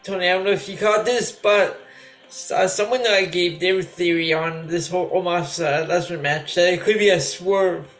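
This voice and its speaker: A man co-hosting a casual wrestling podcast, relaxed and chatty. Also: high-pitched, moderate pace, faint music behind, low-quality recording. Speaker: podcast co-host.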